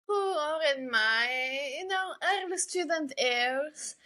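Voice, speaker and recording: A woman from Bulgaria speaks as a guest in a relaxed interview. Her voice sits extremely high and her pace is slow. She answers in a measured, thoughtful way. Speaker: podcast guest, illustrator and digital artist. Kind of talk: casual interview chat.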